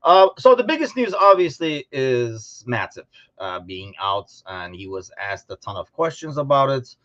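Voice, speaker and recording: A man co-hosting a casual sports podcast, talking informally and thinking out loud as he discusses. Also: mid-range voice, big pitch swings, normal speed, lo-fi sound. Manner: informal, conversational, thinking aloud. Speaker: podcast co-host.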